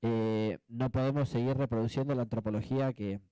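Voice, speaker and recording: A man with an Argentine accent speaking off the cuff as a panelist, reflective and halting, often adding tag questions. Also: mid-range voice, fairly even intonation, dark tone, smooth, dry recording. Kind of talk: spontaneous panel discussion answer.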